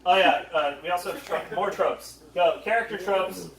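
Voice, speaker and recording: Male comedic panel host, high voice, sweary mock-ranting, recorded far from the mic. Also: normal speed, creaky, a crowd in the background. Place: convention panel room. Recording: echoey room, far from the mic.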